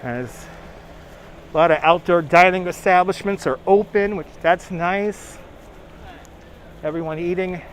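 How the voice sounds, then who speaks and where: A man's voice: high-pitched, a little slow, pauses often, slight background noise. A man with a New York accent chatting casually on a live stream, talking back to viewers by name and laughing often. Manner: chatty, reads chat names aloud, laughs often. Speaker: livestream host. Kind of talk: casual live-stream chat while walking. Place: outdoors on city streets. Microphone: phone on gimbal.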